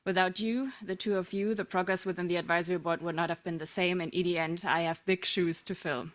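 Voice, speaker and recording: Woman co-chair reading formal meeting remarks, steady and measured. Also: on the low side.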